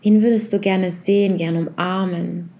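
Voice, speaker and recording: A woman leading a guided reflection meditation, speaking softly and unhurriedly as she puts a series of gentle questions directly to the listener. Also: on the low side, fairly even intonation, normal speed, a little hiss or hum.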